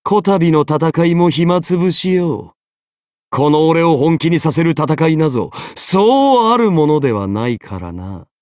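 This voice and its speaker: A man's voice: a little high, expressive, measured pace, darkish tone, no room sound, studio quality. An actor playing a haughty, easily bored golden-armored king in an anime game, pompous, imperious and condescending. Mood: arrogant, condescending. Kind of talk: dramatic anime game character voice acting.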